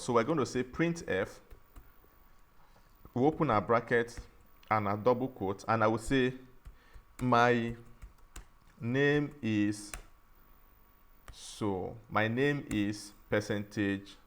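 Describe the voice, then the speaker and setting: A man teaching a programming tutorial, explaining each step slowly and often restarting and saying "all right". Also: mid-range voice. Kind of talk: screen-recorded coding tutorial.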